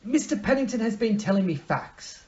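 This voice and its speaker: Guy playing earnest forgiving husband, up and down pitch, dull distant audio. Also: animated, normal speed, a little mellow. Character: convalescing, forgiving young husband, earnest and subdued. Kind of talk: amateur audio play acting.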